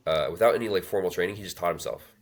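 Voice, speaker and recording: A man in his thirties telling his life story as an interview guest, halting with many fillers and restarts. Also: sits low. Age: 30s. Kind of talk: reflective personal storytelling.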